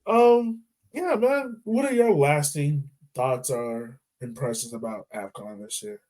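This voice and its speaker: A man's voice: on the high side, takes it slowly. A man hosting a casual soccer podcast, talking loosely with plenty of fillers. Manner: relaxed, loose, lots of fillers like "um" and "like". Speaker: podcast host.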